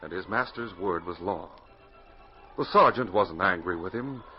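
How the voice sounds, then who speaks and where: Male 1940s radio announcer-narrator, North American, dramatic and highly inflected, on a muffled, hissy old recording. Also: expressive, measured pace, faint music behind. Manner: dramatic, emphatic.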